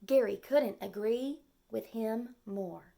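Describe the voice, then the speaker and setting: Slow, high-voiced woman storyteller with creaky edges. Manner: expressive, simple phrasing with questions to the listener. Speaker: children's storyteller. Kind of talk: storybook read-aloud with simple explanations for young children.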